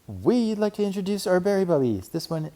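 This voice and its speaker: Man putting on an excitable little girl bear, high-pitched. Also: fairly quick. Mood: eager. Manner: gushing, breathless. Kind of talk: children's storybook read aloud.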